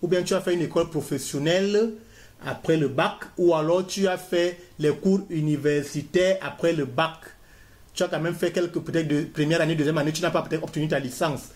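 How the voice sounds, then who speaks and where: A man's voice: fairly high pitch, fairly even intonation, brisk, a little mellow. A man giving a step-by-step tutorial, repeating key points as he fills in an online form. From Cameroon. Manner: talks viewers step by step through a form, repeating himself. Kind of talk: screen-recorded walkthrough.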